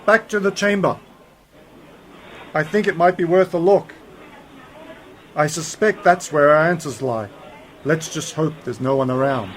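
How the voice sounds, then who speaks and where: A man's voice: fairly high pitch, measured pace, pauses often, a little hiss or hum, lightly acted, poor audio. A man playing a mysterious, authoritative time-travelling uncle in an amateur science fiction radio play, pondering aloud with slightly theatrical emphasis. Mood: pondering, suspicious.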